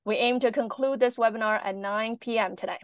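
A woman's voice: fairly high pitch, a little fast, clear, resonant tone, dry recording, clean studio sound. A woman moderating a medical webinar, reading speaker introductions and housekeeping notes in a formal way over a video call. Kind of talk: read introduction.

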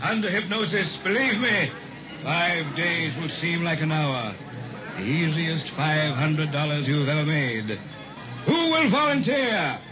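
A man's voice: on the high side, big pitch swings, measured pace. A man playing a slick, theatrical carnival hypnotist with grand, teasing patter in an early sixties radio drama. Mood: grand, coaxing. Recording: dull old radio recording, music behind.

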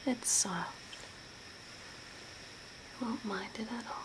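A young woman whispering breathily and softly in a soothing ASMR role play, close to the mic as if giving personal attention. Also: a little high, slow. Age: young adult. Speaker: ASMR role-play performer, makeup artist. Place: small room at home, by a window. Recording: noisy home recording with ambient hiss.

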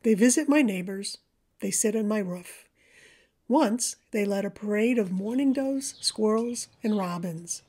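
Female nature-video narrator, soft-edged mellow tone, measured and gentle, mid-range pitch. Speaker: presenter of a backyard birding video for a library. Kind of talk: scripted voice-over narration.

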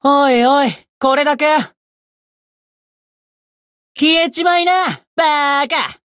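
High-pitched, cocky bratty young demon. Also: female voice, takes it slowly, a bit bright, no echo, clean studio sound. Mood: impatient, haughty. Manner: pompous, rough slangy boasting. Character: cocky, bratty young demon self-styled king of the underworld. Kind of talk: Japanese mobile game character voice lines.

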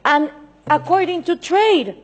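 A woman's voice: extremely high pitch, animated, slow pace. A woman in her thirties from Central America, speaking English with a Central American Spanish accent, giving an emphatic political speech at a panel into a table microphone in a large conference hall. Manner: emphatic and insistent, gesturing a lot. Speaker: invited speaker.

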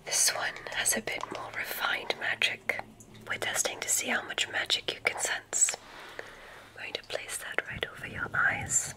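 A woman whispering gently and soothingly in an ASMR roleplay as a kindly witch examiner, breathy and unhurried. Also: poor audio. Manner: gentle, unhurried, soothing, theatrical word choice with soft repetitions. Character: kindly witch medical examiner at a magic school. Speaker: ASMR performer playing a witch doctor.

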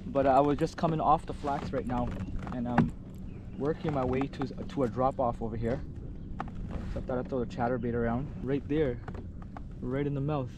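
A man's voice: medium pitch, steady tone, moderate pace, long pauses. A man narrating his kayak fishing to camera in casual, short, colloquial remarks. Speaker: fishing vlog host. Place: outdoors in a kayak on a lake. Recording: noisy outdoor audio with water sounds. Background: water and kayak noise.

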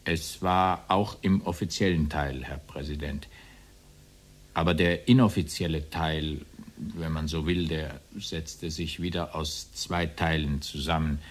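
An actor playing an evasive, deferential former SS officer testifying in formal, roundabout sentences in a radio play. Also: male voice, extremely low pitch, steady tone, unhurried. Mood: explaining, careful. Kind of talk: radio play courtroom dialogue.

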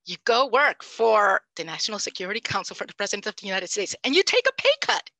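Lively Puerto Rican woman on headset. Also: mid-range voice, fast talker, no room sound. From Puerto Rico. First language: Spanish. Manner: animated, emphatic, conversational. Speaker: panelist, language professor. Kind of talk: panel talk over video call. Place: home room, indoors. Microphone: headset mic.

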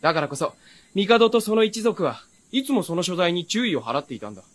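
A brash young servant in a period radio drama, cheeky and casual. The voice is high for a man and swoops around in a very animated way. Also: brisk. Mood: knowing, amused. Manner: casual, cheeky, rough speech. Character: brash, breezy, rough-spoken young male retainer.

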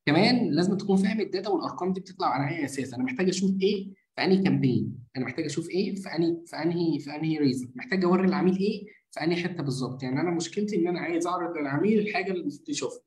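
An Egyptian man leads a live marketing coaching session and rushes through his explanation at great speed. He walks through each step by asking himself questions and answering them. His voice bounces around a noticeably echoey room. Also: a little high, darkish tone. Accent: Egyptian Arabic. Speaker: marketing mentor.

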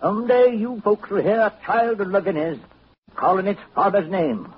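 An actor playing a sly, boastful old New backwoods wizard with a folksy, taunting way of talking, in 1940s radio style. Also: male voice, high-pitched, moderate pace, lightly acted. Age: older. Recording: muffled, noisy 1940s radio recording.

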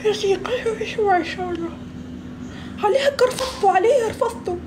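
A woman's voice: very high voice, expressive, a little fast, noisy background. A woman in her twenties hosting a live stream from a phone, chatting and teasing guests in a playful, mock-pious way. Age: 20s. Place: small room indoors. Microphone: phone at arm's length.

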